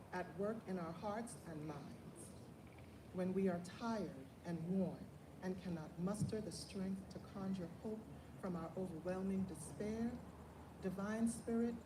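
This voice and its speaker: A woman leading a solemn prayer, reading it out in measured phrases. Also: mid-range voice. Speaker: prayer leader. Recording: distant, echoey, noisy event recording.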